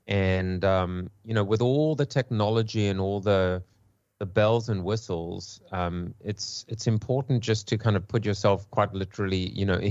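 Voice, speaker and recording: Low-voiced South African man, halting. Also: a little mellow, dry recording, dull audio. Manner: hesitant, many restarts and repeated words. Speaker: panel guest. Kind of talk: casual podcast discussion.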